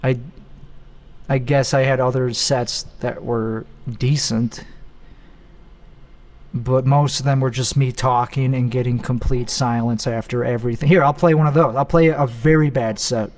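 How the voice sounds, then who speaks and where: A man's voice: medium pitch, fairly even intonation, a little slow. Young man rambling bluntly. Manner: self-mocking, blunt, loose and rambling. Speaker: podcast host. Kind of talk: casual rambling monologue.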